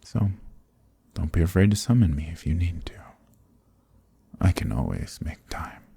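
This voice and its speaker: A man voicing an ancient archdemon who is smug, amused and condescending, in a breathy, murmured dark fantasy ASMR roleplay with teasing, leisurely politeness. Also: deep voice, big pitch swings, a little slow, no room sound, full character voice.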